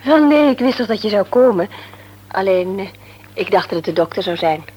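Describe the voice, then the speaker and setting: An actress playing a worried, thoughtful mother in a Dutch radio play, speaking in a measured, explanatory way. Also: mid-range voice, animated, highs cut off, close to an everyday voice. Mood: worried, subdued. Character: Els, worried mother and former nurse, thoughtful. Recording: humming, noisy radio play recording.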